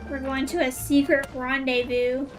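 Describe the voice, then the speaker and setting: A woman's voice: high-pitched, flat delivery, moderate pace. A woman streamer chatting casually over gameplay. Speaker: game streamer. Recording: faint game music behind.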